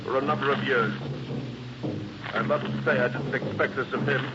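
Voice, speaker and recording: An actor playing a suave, coldly polite villain in a 1950s radio drama, formal, mock-courteous and condescending. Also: male voice, medium pitch, fairly even intonation, moderate pace, lightly acted. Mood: menacing politeness. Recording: muffled old radio recording, heavy noise.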